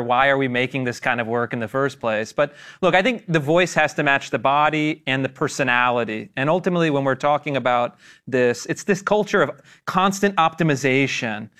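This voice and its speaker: A man on a panel giving a measured, earnest opinion. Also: medium pitch, fairly bright tone. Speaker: guest commentator. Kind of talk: opinion commentary.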